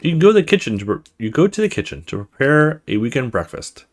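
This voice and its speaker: A man's voice: medium pitch, sing-song, fast. A man hosting a let's play, reading a horror visual novel aloud in a dramatic storytelling way with casual asides.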